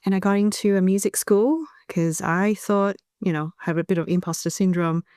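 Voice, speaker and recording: A woman in her thirties from Taiwan, an English speaker, talking in a soft-spoken, thoughtful way as an interview guest. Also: mid-range voice, a little fast, clear, resonant tone, dry recording, studio quality. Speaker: singer-songwriter guest. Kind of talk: relaxed interview chat.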